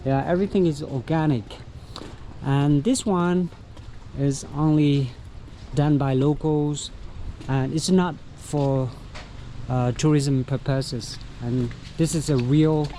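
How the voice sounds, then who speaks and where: Slow-talking Vietnamese man guiding outdoors. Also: a little high, warm tone. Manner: chatty, halting with many fillers. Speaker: local tour guide. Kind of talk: walking travel vlog. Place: outdoors in a mountain village. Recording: noisy outdoor recording.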